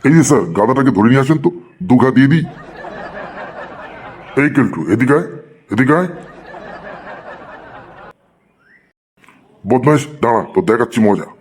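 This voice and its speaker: A man's voice: medium pitch, animated, quick pace, pauses often, darkish tone, a little hiss or hum, close to an everyday voice. A man voicing a stern, easily angered schoolteacher who scolds in a cartoon comedy. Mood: exasperated.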